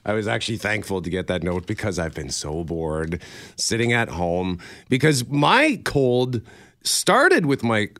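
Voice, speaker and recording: A man's voice: on the low side, big pitch swings, a little slow, a bit bright, dry recording. A man co-hosting a morning radio show in casual chat, rambling and correcting himself, chuckling now and then. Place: radio studio. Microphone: studio microphone.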